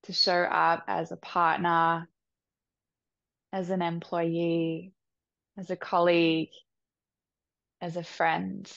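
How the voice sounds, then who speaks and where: A woman leading a guided meditation with soft, soothing instructions. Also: fairly low pitch, little pitch movement, vocal fry, no echo, muffled recording. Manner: soft, slow, soothing instructions with long pauses.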